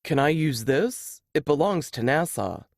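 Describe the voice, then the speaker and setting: A man's voice: on the high side, animated, a little slow, gravelly, no room sound, clean studio sound. A woman voicing a shy, nervous young male grad student in an audiobook story, hesitant and polite. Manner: hesitant, embarrassed, apologetic. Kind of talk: audiobook character dialogue.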